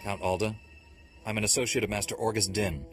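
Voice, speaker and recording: A man playing a resolute Jedi Knight in a video game, measured and composed, with a dry wit. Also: on the low side, steady tone, music in the background, lightly acted. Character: resolute male Jedi Knight, calm and dryly wry. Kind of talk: scripted video game acting.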